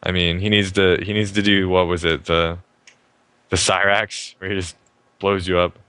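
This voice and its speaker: A young man hosting a live stream show, talking fast and upbeat about a fighting game and often restarting his sentences. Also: sits low, flat delivery, pushed and bright, clear, resonant tone, dry recording. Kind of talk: casual game commentary.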